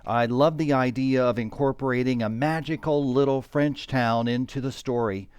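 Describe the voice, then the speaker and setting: A man speaks as a writer giving a prepared introduction. His pitch is average for a man, and the recording is dry, without room echo. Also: fairly even intonation, moderate pace, a little mellow, clean tone. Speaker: playwright.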